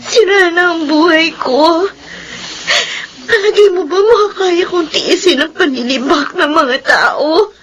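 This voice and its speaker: A woman's voice: very high voice, measured pace, a bit bright, poor audio. A woman playing an anguished, bitter young victim, tearful and despairing, in a Filipino radio melodrama.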